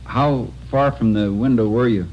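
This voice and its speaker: An actor playing a dry, official coroner questioning in a formal, impersonal way in a 1950s radio drama. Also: male voice, medium pitch, moderate pace, close to an everyday voice. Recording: muffled, noisy old radio recording.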